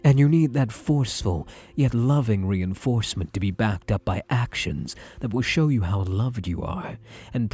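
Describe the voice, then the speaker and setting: A man acting as a softly controlling male therapist for an ASMR roleplay. His low voice swoops and dips through slow, deliberate reassurances, hushed and breathy, with an obsessive, doting tenderness. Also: full character voice. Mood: tender, doting. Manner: soothing, deliberate, hushed, drops into breathy murmurs.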